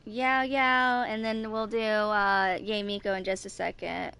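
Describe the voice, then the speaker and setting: A woman in her twenties streaming a video game, talking casually in a rambling, halting way that circles back on itself. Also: medium pitch, level tone, creaky, pushed and bright, clear, resonant tone. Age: 20s. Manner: rambling, halting, repeats herself. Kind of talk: casual livestream chat with some reading aloud of game text.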